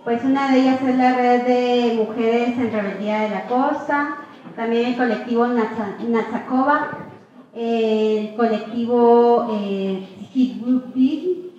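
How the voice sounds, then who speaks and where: Woman speaking in an echoey hall. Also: on the high side. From Guatemala. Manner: steady and explanatory, frequent "eh" pauses. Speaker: activist speaker on a panel. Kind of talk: public talk presentation. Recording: echoey room, dull muffled sound.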